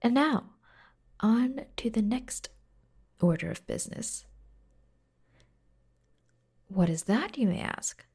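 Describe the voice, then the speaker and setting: A devoted, playful secretary acted by a woman fusses over the boss in an ASMR roleplay. The voice is soft and breathy, unhurried and intimate, often slipping into a whisper. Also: fairly high pitch, animated, exaggerated voice. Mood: teasing, coaxing. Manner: slow, gentle, playful, intimate. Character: caring, teasing, devoted young female secretary fussing over her boss.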